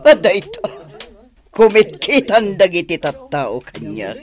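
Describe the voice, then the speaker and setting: A woman's voice: on the low side, sing-song, measured pace. A woman playing a vain old woman showing off her money in a Philippine radio drama. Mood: gloating, pleased.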